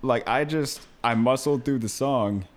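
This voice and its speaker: A tipsy young man co-hosting a podcast, talking in a relaxed way with laughs and swearing. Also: mid-range voice, measured pace. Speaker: podcast co-host. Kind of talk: casual drunken chat.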